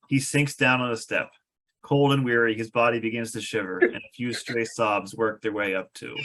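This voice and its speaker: A man narrates a fantasy screenplay. His voice sits at an average pitch. He reads descriptive stage directions as scripted narration. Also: fairly bright tone.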